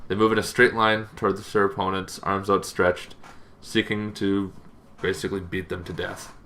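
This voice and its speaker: A man hosting a tabletop gaming channel, giving a casual, informal explainer with halting, loosely phrased delivery. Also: sits low, little pitch movement, fairly bright tone.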